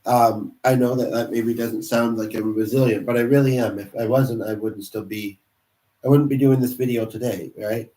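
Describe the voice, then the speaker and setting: A man who is sick and congested, chatting alone on a livestream in a halting way, full of pauses and restarts. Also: medium pitch, fairly even intonation, mellow, distant mic. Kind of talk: rambling solo livestream chat. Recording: roomy sound from off-mic.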